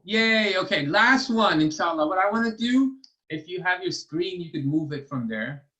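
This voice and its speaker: A man's voice: high voice, animated, moderate pace, bright, forceful tone, highs cut off. A man hosting a children's storytime and trivia over a video call, explaining step by step in a patient, encouraging way. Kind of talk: casual teaching to children.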